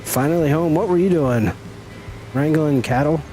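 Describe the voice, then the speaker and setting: A man's voice: a little high, moderate pace, warm tone, soft background music, low-quality recording. A middle-aged man chatting casually with viewers as he streams a game, folksy and teasing. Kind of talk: casual live-stream chat while gaming.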